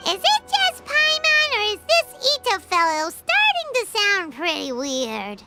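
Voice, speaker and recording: Game fairy companion: childlike and chatty, squeaky, wildly swooping delivery. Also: female voice, wildly swooping pitch, a little slow, exaggerated voice. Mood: puzzled. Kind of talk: video game dialogue. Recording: loud music underneath.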